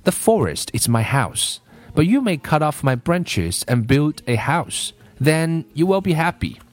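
A loving female apple tree from a storybook, as a male reader plays her, speaks with gentle, apologetic generosity. The pitch swings expressively as she offers all she has. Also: very animated, a little slow. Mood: eager to help. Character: loving, self-giving female apple tree, growing old and weary. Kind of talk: storybook character voice.

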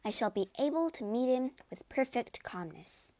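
A woman voicing a gentle, sweet-natured young woman who speaks softly and earnestly, pitched above her own voice. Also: sing-song, moderate pace. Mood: calm.

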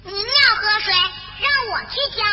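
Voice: very high voice, unhurried, over music. A performer voicing a cheeky, argumentative little boy monk with a childlike, singsong delivery in a children's audio story. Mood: indignant.

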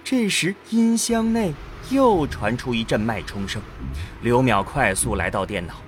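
A male narrator tells a science fiction audio drama. He takes his time, with a lively, varied melody at a medium pitch, over faint music. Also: measured pace.

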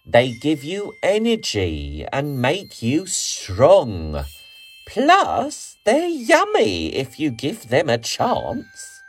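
A man voicing a gentle, encouraging female dinosaur friend in a children's storybook. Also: a little high, wildly swooping pitch, extremely slow, slightly rough, exaggerated voice. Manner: gentle, coaxing.

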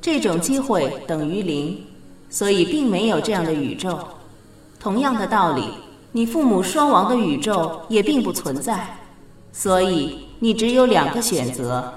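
A woman playing a calm, patient goddess of fate in a radio drama, explaining in a measured, gently authoritative way. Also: mid-range voice, distant mic, faint music behind. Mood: explanatory. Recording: very echoey reverberant studio effect.

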